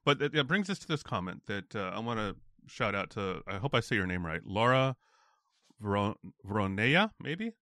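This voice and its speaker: A man's voice: lowish voice, sing-song, normal speed, pushed and bright, no room sound, studio quality. An American man hosting a podcast, asking curious follow-up questions and reading a listener comment aloud. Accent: General American. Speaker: podcast host. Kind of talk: casual interview chat.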